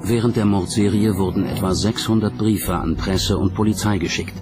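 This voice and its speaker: A man with a fairly low voice reads scripted documentary narration. Music plays prominently under his voice. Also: fairly even intonation, moderate pace. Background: background music.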